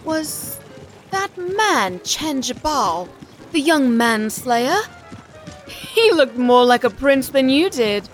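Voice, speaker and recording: A scornful young woman with a high, sharp voice snaps out slow, cutting lines, as a man reading the story puts her on. She sounds fierce and unimpressed. Soft music plays underneath. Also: big pitch swings, heavily acted. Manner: scornful, snapping.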